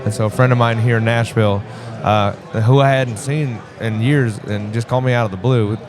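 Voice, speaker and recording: A man in his forties, born in Vietnam and raised in the US Midwest, chatting casually as an interview guest with a relaxed, rambling manner and many restarts. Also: mid-range voice, fairly quick, music in the background. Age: 40s. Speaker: guest musician. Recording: crowd noise behind.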